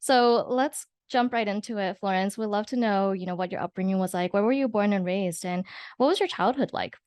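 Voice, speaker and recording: A woman's voice: mid-range voice, fairly quick, a little mellow, smooth, dry recording. A woman hosting a podcast, reading an upbeat introduction and asking friendly questions. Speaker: podcast host.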